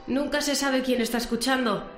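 A woman plays a flustered but cheeky young girl in a radio play. Her high voice bluffs along with an accent from Spain, in an echoey space with soft music behind. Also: fairly even intonation, moderate pace, close to an everyday voice. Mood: cheeky, friendly. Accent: Spanish from Spain. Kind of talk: radio drama acting.

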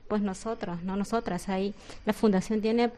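A woman's voice: mid-range voice, fairly even intonation, normal speed, mellow. A young woman from Peru with a Peruvian accent, a volunteer answering shyly and searching for words.

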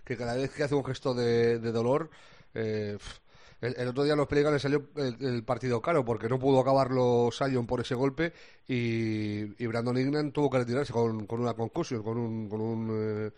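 A male basketball commentator with an accent from Spain and a mid-range voice. He talks casually and colloquially, halting often as he thinks aloud. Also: mid-range voice, steady tone, a little mellow. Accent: Spanish from Spain. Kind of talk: casual sports discussion.

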